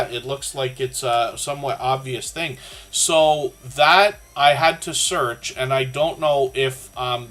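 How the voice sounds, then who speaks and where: Canadian man with a bright, slightly scratchy voice. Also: medium pitch. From southern Ontario, Canada. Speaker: livestream host of a creator community channel. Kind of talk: casual livestream chat.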